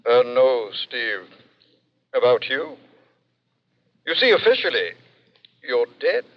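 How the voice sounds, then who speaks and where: A man's voice: mid-range voice, big pitch swings, takes it slowly, dull audio. An actor playing a sly, chatty man breaking grim news to a friend in an old radio suspense play, theatrical and falsely reassuring. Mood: coolly informative. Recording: band-limited, faintly noisy old radio recording.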